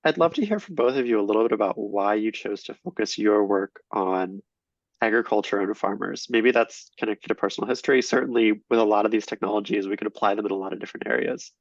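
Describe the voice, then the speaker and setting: A man hosts an online panel, asking his guests questions with frequent hesitant ums. His voice is lowish and gravelly. The audio sounds dull and dry, like a video call. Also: fast talker, dry recording. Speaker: panel moderator.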